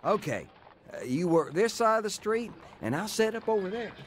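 A man's voice: high-pitched, very animated, normal speed, slight background noise. A man playing a cocky young criminal in a radio drama, speaking in a brash, slangy way. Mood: cocky, confiding. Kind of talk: old-time radio drama acting.

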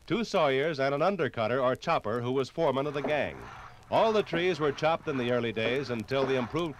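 A man narrating a scripted 1950s radio documentary in a measured, dramatic storytelling manner. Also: medium pitch, noisy background.

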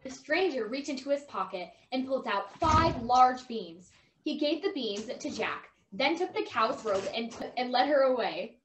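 A woman's voice: fairly high pitch, animated, moderate pace, over music. A woman reading scripted storybook narration on a video call from a bedroom. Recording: noisy, roomy video call audio.

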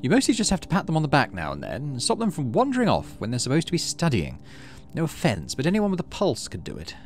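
A man's voice: a little high, wildly swooping pitch, fast, voice pushed up. A man voicing a brusque, weary woman chief engineer in a game, curt and condescending. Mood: dismissive.

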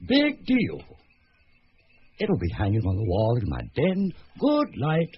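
An actor playing a covetous American entrepreneur and collector in a radio drama, brash and insistent, with an American accent. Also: male voice, mid-range voice, huge pitch swings, takes it slowly, breathy edge, heavily acted. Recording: slightly noisy, muffled radio recording.

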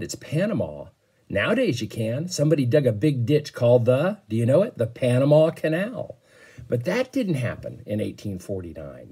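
An American man in his fifties, a teacher talking informally and reading a storybook aloud to children, with a husky voice. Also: medium pitch, warm tone. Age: 50s. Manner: informal, asks the listeners questions. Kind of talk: casual explaining and storybook read-aloud for children. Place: small bedroom at home, indoors. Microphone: phone or laptop at arm's length.

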